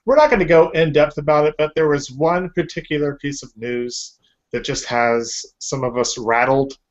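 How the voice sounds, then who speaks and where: A man's voice: a little high, moderate pace. A man hosting a casual podcast, chatty and upbeat, with fillers. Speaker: podcast host. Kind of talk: casual chat. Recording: lo-fi podcast audio.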